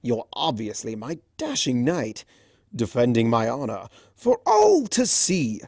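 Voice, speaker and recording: A narrator voicing a flamboyant, teasing male chimera trickster in a fan storybook reading, smug and theatrical with drawn-out emphasis. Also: mid-range voice, wildly swooping pitch, darkish tone, no echo, clean studio sound, full character voice. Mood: playful, grandiose.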